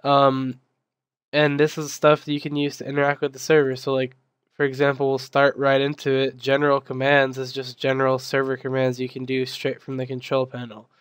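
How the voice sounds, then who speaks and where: A man's voice: medium pitch, monotone, brisk, clear, resonant tone, no room sound. A young man explaining a game server control panel in a casual, filler-heavy way, his voice still recovering from being sick. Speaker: server admin giving a tutorial. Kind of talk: screen-recorded software tutorial.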